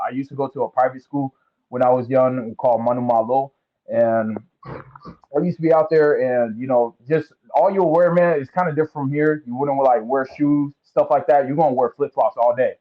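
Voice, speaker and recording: Brief: young man from American Samoa, relaxed and easygoing, on a tinny phone-like remote line. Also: mid-range voice. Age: young adult. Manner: laid-back, many "you know" fillers. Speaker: football recruit guest. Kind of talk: casual interview.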